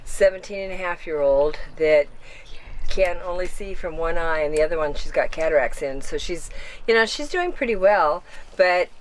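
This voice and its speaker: A woman's voice: on the low side, lively intonation, moderate pace, a little hiss or hum, rough recording. A woman chatting casually as a dog owner and client. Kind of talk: casual conversation.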